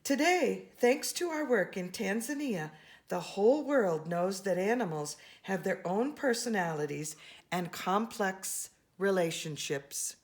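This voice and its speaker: A woman reading a children's picture book aloud, expressively and with deliberate emphasis on key words. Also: medium pitch, expressive. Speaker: storybook reader.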